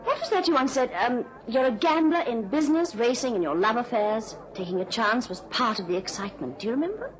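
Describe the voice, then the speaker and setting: Animated, high-voiced woman racegoer. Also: a little fast, hardly any pauses, poor audio. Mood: teasing. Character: lively, teasing woman racegoer. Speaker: actor. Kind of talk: radio drama acting.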